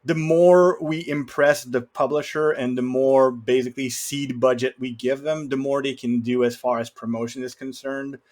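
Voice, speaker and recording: A man's voice: mid-range voice, steady tone, moderate pace, hardly any pauses, a little mellow. A man speaking as a podcast guest, explaining things in a casual conversational way.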